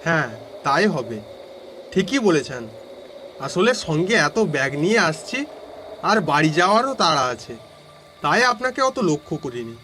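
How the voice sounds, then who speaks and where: A man's voice: on the high side, sing-song, measured pace, pauses often, bright, forceful tone, slight background noise, heavily acted. A man voicing a village traveller who narrates his suspenseful walk home as a dramatic first-person audio story. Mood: friendly, calling. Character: male villager walking home through a storm, narrating.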